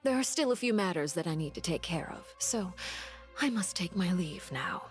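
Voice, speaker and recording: A woman's voice: fairly low pitch, expressive, normal speed, soft background music, natural voice. An actress playing a coolly polite noblewoman interrogator in a game, formal and silky with veiled mockery. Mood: politely sly. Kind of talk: anime-style game voice acting.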